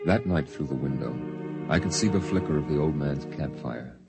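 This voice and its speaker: A man playing a sober, reflective narrator in a 1950s radio drama, telling his memories in measured, literary phrases. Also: a bass voice, creaky. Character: grown man soberly recalling his boyhood on Mars. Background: music.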